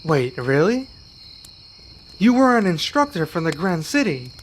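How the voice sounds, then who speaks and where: An earnest young male werewolf played by a man speaks in a slightly high voice at a slow, careful pace. He is sincere and a touch hesitant, as in an intimate ASMR fantasy roleplay. Faint cricket and insect ambience sits behind him. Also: sing-song, a little hiss or hum. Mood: grateful, admiring.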